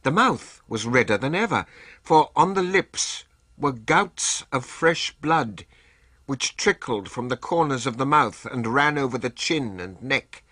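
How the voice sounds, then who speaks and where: Male reader, dry close mic, husky, dramatic delivery. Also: medium pitch, animated, a little slow, pushed and bright, dry recording. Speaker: reader of quoted texts. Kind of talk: dramatic reading.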